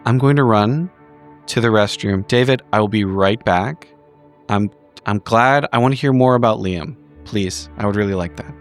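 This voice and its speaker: A man playing a small, frumpy, anxious woman in improvised roleplay, halting and self-justifying as the character explains. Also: fairly low pitch, faint music behind, lightly acted. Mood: strained, brittle calm.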